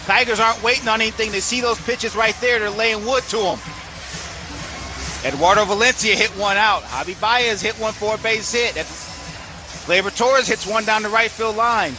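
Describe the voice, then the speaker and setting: A man's voice: high-pitched, moderate pace, over music, poor audio. An American man giving conversational live analysis as a baseball color commentator. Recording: stadium ambience noise behind the voice.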